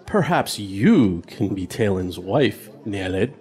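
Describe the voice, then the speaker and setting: A man playing a proud middle-aged warrior father in a fantasy radio drama, measured and paternal. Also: on the low side, expressive, darkish tone. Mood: dry teasing.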